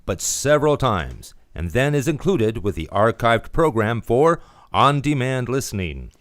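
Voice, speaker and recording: Male commercial announcer, slightly low, animated scripted delivery, dry studio recording. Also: a little slow, no echo. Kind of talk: scripted radio advertising. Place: radio studio.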